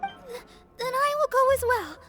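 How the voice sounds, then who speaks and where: A gentle young church girl from a video game. Her voice sits high, and she talks in an earnest, thoughtful way with faint music behind her. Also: lively intonation, takes it slowly, full character voice. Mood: concerned. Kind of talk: video game cutscene acting.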